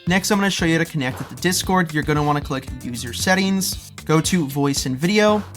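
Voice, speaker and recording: Low-pitched woman narrating a tutorial. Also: expressive, brisk, soft background music. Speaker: tutorial presenter. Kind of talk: casual scripted tutorial narration.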